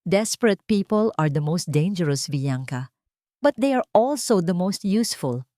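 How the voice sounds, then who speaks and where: A woman voicing a commanding, cold male CEO who speaks tersely and deliberately in a scripted audio drama. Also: on the low side, animated, dark tone, no room sound, clean studio sound, close to an everyday voice. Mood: cold, challenging.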